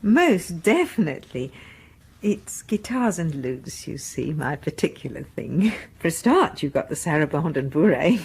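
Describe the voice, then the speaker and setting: A timid English spinster of middle age in a radio chiller. Her voice is very breathy and lilts up and down freely. She is deferential and flustered, halting as she apologises, yet eager to please. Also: low voice, very animated, a little mellow, heavily acted. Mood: shy, eager. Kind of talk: radio horror drama acting.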